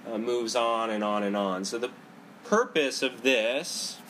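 Loud-voiced man teaching with a head cold. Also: medium pitch, a little slow, slight background noise. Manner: loud talker; conversational, frequent "right?" and "you know". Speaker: meditation teacher. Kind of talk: Buddhist psychology talk to a group. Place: indoor room with a group, seated cross-legged.

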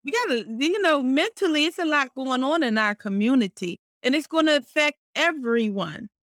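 A middle-aged woman co-hosting a casual podcast, expressive and animated, speaking warmly about faith and community. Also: high-pitched, normal speed, no echo, studio quality. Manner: warm, expressive, talks about faith and blessings; frequent fillers and restarts, animated storytelling. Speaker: podcast co-host.

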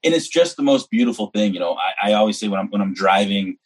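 A man's voice: on the low side, lively intonation, fast, slightly rough. A man from New Hampshire, an executive chef, speaking as a guest in a casual podcast interview with earnest enthusiasm. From New Hampshire, US East Coast. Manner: enthusiastic, earnest, talks in long run-on stretches.

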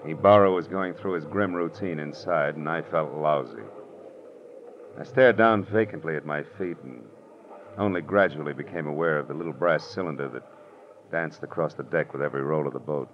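A man's voice: very deep voice, moderate pace, a little hiss or hum. An American man playing a world-weary, hard-boiled private detective in old radio noir style, terse, wry and punchy. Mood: weary, brooding. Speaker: lead actor and narrator of a radio detective series. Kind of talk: 1940s-50s radio noir acting. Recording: muffled old radio recording with music.

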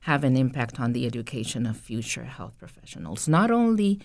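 A middle-aged woman from Costa Rica, a public health dean, answering podcast interview questions in a measured, thoughtful way. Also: rumbling low, very animated, a little mellow.

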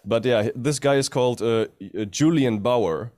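A man's voice: medium pitch, moderate pace, darkish tone. A Dutch-speaking man from a band, talking hesitantly with many fillers in a casual interview. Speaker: band member.

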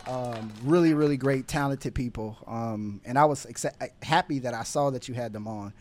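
A man's voice: medium pitch, normal speed, darkish tone, slight background noise. A man hosting a podcast, chatting casually and animatedly with slang and frequent laughs. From Houston area resident, now Miami. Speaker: podcast host. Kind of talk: casual chat.

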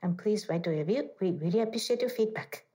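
A woman hosts a podcast interview in a friendly, polite way. Her voice sits at a middle pitch, and her phrasing is slightly non-native. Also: expressive, a little fast, dark tone. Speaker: host.

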